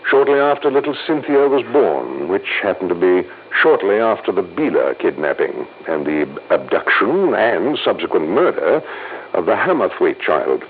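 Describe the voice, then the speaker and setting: Anxious American company official, formal and hesitant, lively swooping delivery. Thin, hissy vintage radio sound. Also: male voice, low voice, moderate pace, phone-quality sound. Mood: flustered. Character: fussy, anxious male insurance company official. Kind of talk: 1950s American radio drama acting.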